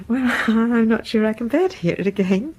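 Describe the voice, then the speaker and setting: A woman's voice: on the high side, expressive, unhurried. An actress playing a shy, devoted classical music fan in a radio horror drama, a genteel, anxious British woman who speaks in a hesitant, polite, confiding way. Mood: nervous confiding. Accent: British English. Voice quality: breathy.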